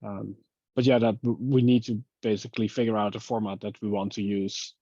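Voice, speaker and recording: A man's voice: lowish voice, level tone, a little fast, dark tone, no echo, lo-fi sound. A man thinking aloud in an online technical meeting, heavy on fillers like "like" and "um". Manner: thinking aloud, filler-heavy, laughs at his own slips. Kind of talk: casual technical meeting discussion. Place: online video call.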